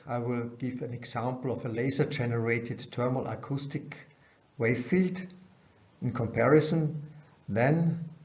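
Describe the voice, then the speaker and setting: A man's voice: mid-range voice, a little mellow. Dull-sounding man giving a science lecture. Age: middle-aged. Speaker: researcher presenting at a conference. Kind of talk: scientific lecture over slides. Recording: dull, band-limited webcam audio.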